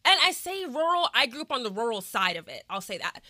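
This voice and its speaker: A young woman from Texas hosts a laid-back podcast conversation, talking frankly and chattily with plenty of fillers. Her voice is very bright and edged, sitting a little high, and the sound is close and dry. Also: very animated, fast talker, no echo. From Texas, USA. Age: young adult. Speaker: podcast host. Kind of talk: casual podcast chat.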